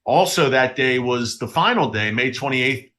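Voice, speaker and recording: A man's voice: medium pitch, expressive, normal speed, bright, forceful tone. A man hosting a live-streamed news commentary show from a studio, a little congested and sniffling, talking straight to his viewers with emphasis. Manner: direct address to viewers, emphatic.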